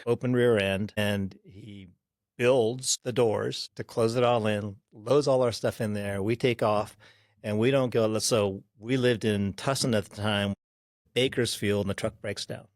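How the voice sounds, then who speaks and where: A man in his sixties, a podcast guest, recalling his childhood in an unhurried way with frequent pauses. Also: mid-range voice, dry recording, clean studio sound. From Korea. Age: 60s. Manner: unhurried, pausing with ums while recalling. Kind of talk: storytelling interview.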